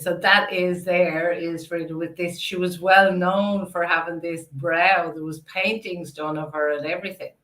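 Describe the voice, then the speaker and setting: An Irish woman hosts a casual online interview in a slightly low voice. She takes her time and thinks aloud, affirming as she goes. The room around her sounds noticeably echoey. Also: a bit bright. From Ireland. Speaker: interview host.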